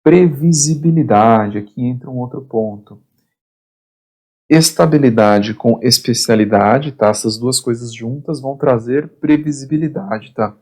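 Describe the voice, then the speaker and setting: A man, getting hoarse, teaches an online course lecture in short phrases and keeps checking in with the listener. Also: mid-range voice, a little slow, darkish tone. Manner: explains in short phrases, constant "tá?" check-ins, repeats words. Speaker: instructor.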